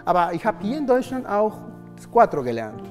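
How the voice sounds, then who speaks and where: A man's voice: high voice, sing-song, a little fast, a little mellow, in a large hall, faint music behind. A man in his thirties from Venezuela, a native Spanish speaker with a Spanish accent, explaining in a friendly, hesitant way with many fillers. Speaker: orchestral oboist, guest. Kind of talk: casual explaining. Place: stage set, indoors.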